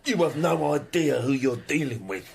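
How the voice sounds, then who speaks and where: Gravelly, sneering Tudor killer. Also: male voice, fairly high pitch, animated, a little mellow, slight background noise. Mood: menacing. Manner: taunting, contemptuous, slow. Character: sneering, menacing armed male killer in Tudor London. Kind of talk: period radio drama acting.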